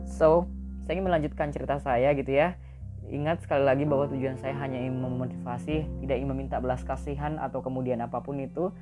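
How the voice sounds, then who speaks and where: A young man tells his own life story on an audio podcast in a loose, chatty, motivational way. He leans on fillers and drops English words into his talk at a middling pitch. Faint guitar music plays behind him. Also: steady tone, quick pace. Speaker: podcast host. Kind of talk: casual motivational storytelling monologue. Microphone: audio podcast recording.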